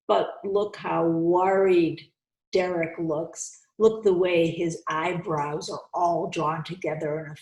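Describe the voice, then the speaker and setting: A woman hosting a children's storytime, speaking deliberately and instructively as she reads and explains, often repeating phrases for young listeners. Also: on the low side, creaky, a little mellow. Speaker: host reading children's books aloud. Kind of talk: storytime read-aloud with commentary to children. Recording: roomy, echoey sound.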